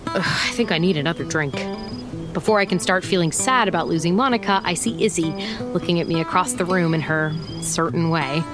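A woman's voice: fairly low pitch, brisk, lightly acted. Woman acting a wry female private eye over music. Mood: wry narration. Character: wry, tough female private eye, first-person narrator. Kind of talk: audio drama noir narration and dialogue.

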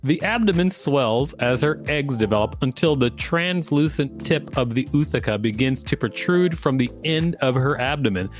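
Male podcast co-host, playful reader with mid-range pitch, faint music underneath. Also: lively intonation, moderate pace, few pauses. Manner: playful, joking. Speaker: podcast co-host reading encyclopedia articles. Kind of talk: read-aloud narration with casual banter.

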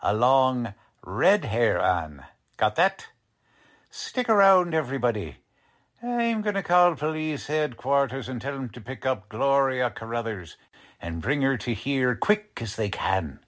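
An actor playing a cocky, condescending police lieutenant in a 1940s-style radio drama, brusque and slangy. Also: male voice, medium pitch, big pitch swings, unhurried, fairly bright tone. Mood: smug. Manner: brusque, slangy, ungrammatical tough-cop talk.

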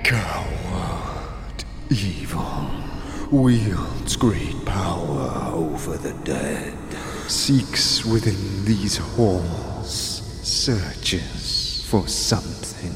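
Slow, cavernous, wrathful ancient ghost. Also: male voice, low-pitched, expressive, full character voice. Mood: sorrowful, bitter. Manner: grave, halting archaic speech in clipped fragments. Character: wrathful, grieving ancient ghost guarding a mausoleum. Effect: cavernous long reverb. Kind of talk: fantasy audio drama acting. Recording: long reverb under loud music.

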